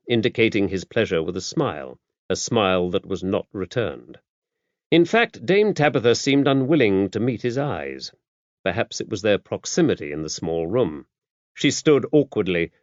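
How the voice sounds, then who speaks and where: A man's voice: on the low side, no room sound, dull audio. A man reading scripted mystery fiction as an audiobook narrator.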